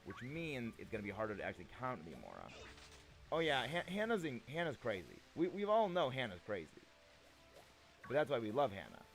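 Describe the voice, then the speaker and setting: Young male streamer, slightly gravelly, lively pitch, chatty and playful with chat. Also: fairly quick, faint music behind. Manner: playful, chatty, repeats himself, reads chat aloud. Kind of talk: casual live-stream chat with viewers.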